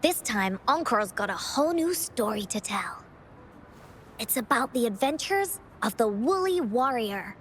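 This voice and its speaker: A woman's voice: fairly high pitch, lively intonation, unhurried, a bit bright, slight background noise. A woman playing a cheerful little girl who tells adventure stories theatrically in a video game. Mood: excited. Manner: theatrical, cheerful, childlike storytelling. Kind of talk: video game storybook narration.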